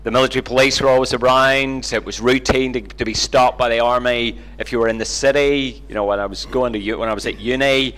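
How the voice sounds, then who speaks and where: Man, middle-aged, Northern Irish accent, conversational, self-deprecating, anecdotal interview guest, mid-pitched voice. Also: fairly even intonation, quick pace, few pauses, a bit bright, low-quality recording. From Northern Ireland, near Belfast. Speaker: interview guest, Bible teacher. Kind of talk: informal personal storytelling.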